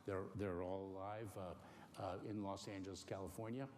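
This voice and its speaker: A middle-aged man born in Cuba, giving careful, halting interview answers with many pauses and fillers. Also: deep voice, steady tone, darkish tone. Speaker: interview guest. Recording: faint noise in a long-reverb room.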